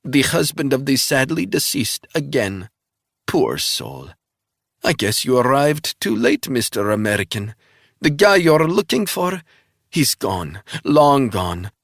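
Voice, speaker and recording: A man's voice: sing-song, slow pace, gravelly, fairly bright tone, no echo, studio quality, full character voice. A man voicing a wounded, vicious female Russian captive who taunts her captor in a Russian accent. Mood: taunting. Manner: taunting, shrill.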